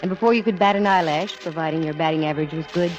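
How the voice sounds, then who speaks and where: A woman's voice: low-pitched, racing, close to an everyday voice. A woman playing a cool, shrewd female private eye in a 1940s radio drama, composed and wry. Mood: narrating, wry. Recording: dull vintage radio recording, music behind.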